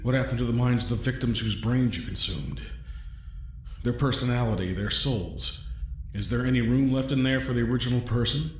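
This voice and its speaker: A man playing a trapped, inquisitive young man in an audio drama, measured, dry and politely formal, with cool defiance. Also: medium pitch, natural voice. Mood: grave, probing. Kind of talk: scripted audio drama acting. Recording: roomy echo, noticeable background noise.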